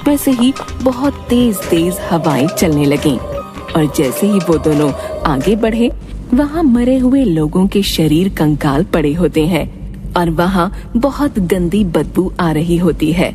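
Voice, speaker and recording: Unhurried, expressive woman narrating a tale. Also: lowish voice. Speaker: story narrator. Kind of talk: dramatic scripted narration of a children's cartoon tale. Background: music.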